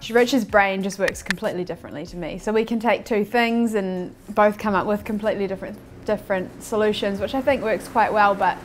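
A woman's voice: medium pitch, a little hiss or hum. A young woman who runs operations at a design studio speaks plainly in a documentary interview. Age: young adult. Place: indoor studio office.

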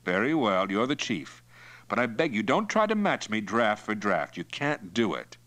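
A man reads as a captive patrolman in a storybook tale. He is wary and stubborn, with a dry needling edge. His voice is forceful and very animated in pitch. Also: normal speed. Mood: sly, needling. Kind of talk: acted dialogue in audiobook narration.

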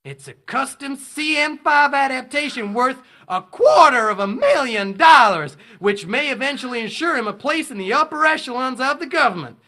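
An actor playing a slick, theatrical game show host in a dystopian audio drama. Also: male voice, extremely high pitch, lively intonation, moderate pace, hardly any pauses, sharp tone, exaggerated voice. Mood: showy. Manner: theatrical, gushing announcer delivery.

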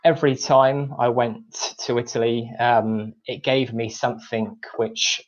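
A man in his thirties with an English accent, speaking from home on a video call, giving a measured personal account with frequent ums as a webinar guest. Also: mid-range voice, little pitch movement. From England. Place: home room, indoors. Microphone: video call, earphones. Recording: slightly dull video call audio.

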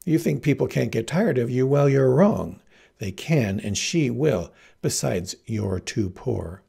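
A man acts out a proud, lovesick farm girl in a storybook. The voice is husky and creaky, lifted a little, with gushing, affected fancy airs. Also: medium pitch, animated, unhurried, warm tone, full character voice. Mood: wounded defiance.

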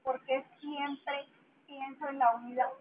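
A woman's voice: high voice, level tone, slow pace, crowd noise. A woman reading poetry aloud through a megaphone. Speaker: poet reading at a public poetry reading. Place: outdoor public park. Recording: tinny narrow-band megaphone, faint outdoor noise.

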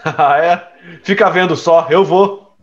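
An actor playing a reckless young rich son in an audio drama, defiant and slangy, in slightly dull sound. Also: male voice, a little high, slow. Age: young adult.

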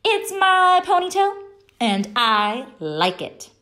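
A woman's voice: high voice, sing-song, slow pace, pushed and bright, voice pushed up, heavily acted. A woman voicing a stubborn, bossy little schoolgirl from a storybook, emphatic and defiant.

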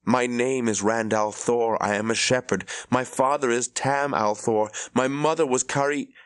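A man's voice: mid-range voice, fairly even intonation, a little slow, no echo, pitched above the performer's own voice, lightly acted. A man voicing an earnest young shepherd who speaks with defiant conviction.

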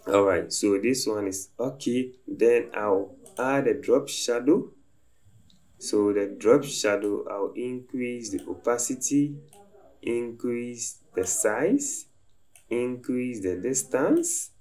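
A man calmly talking through a design software tutorial step by step as he works at his computer. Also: mid-range voice. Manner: slow, step-by-step instructive talk. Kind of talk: screen-recorded software tutorial narration.

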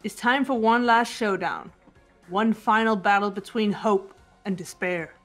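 A woman voicing a cool, composed teenage girl detective in a game, measured and challenging. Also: mid-range voice, a bit bright, faint music behind, lightly acted. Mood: calm, determined. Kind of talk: character voice acting in a game fan dub.

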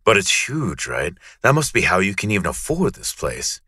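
An actor playing a suave, flirtatious, polite male surgeon with a deep, husky voice in a romantic comedy audio drama. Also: huge pitch swings, normal speed, sharp tone, dry recording, studio quality, exaggerated voice. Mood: charming, curious.

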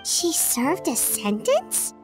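A woman voicing a tiny, excitable childlike fairy girl in a video game, with a cute, exclaiming manner. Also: high-pitched, slow, over music, full character voice, low-quality recording. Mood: curious, apologetic. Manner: cute, exclaiming, refers to herself by name. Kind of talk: scripted game dialogue.

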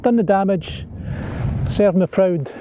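A man in his thirties talking to the camera while fishing outdoors, chatty and excited, calling viewers "guys". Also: sits high, slow pace. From United Kingdom. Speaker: fishing vlogger. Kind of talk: casual on-camera fishing commentary. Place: outdoors, wading in a sea loch under cloudy skies. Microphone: camera on a pole nearby. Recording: dull lo-fi outdoor audio with faint wind and water.

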